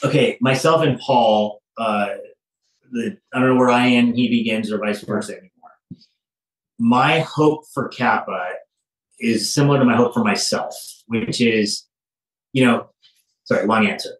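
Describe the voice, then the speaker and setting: A middle-aged man talks in a reflective, earnest way, pausing as he searches for words. His pitch is medium. He sounds some distance from a phone mic, with plenty of room echo. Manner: reflective, halting, with deliberate pauses. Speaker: studio head being interviewed. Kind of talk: earnest interview conversation. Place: small office, on a video call. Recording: roomy, distant phone microphone.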